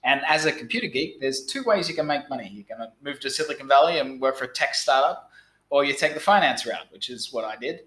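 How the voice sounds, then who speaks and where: A man's voice: mid-range voice, lively intonation, fast talker, a bit bright, reverberant, poor audio. A man in his thirties from Australia with an Australian accent, a podcast guest talking bluntly and casually, often restarting his sentences. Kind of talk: casual interview talk.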